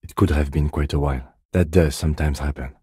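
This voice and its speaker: A middle-aged male police lieutenant for a video game, with a low, husky voice recorded close and dry. He is dignified and restrained, choosing words precisely and keeping a deadpan calm. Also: a little fast, no room sound, heavily acted. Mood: quietly concerned. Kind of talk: video game character dialogue.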